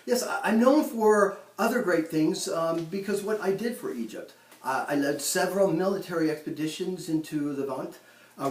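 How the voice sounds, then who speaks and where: A man playing a proud ancient Egyptian pharaoh in a mock interview, speaking haltingly with many ums and restarts, recorded in an echoey room from a distance. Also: high voice, natural voice. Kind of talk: amateur school-style role-play interview.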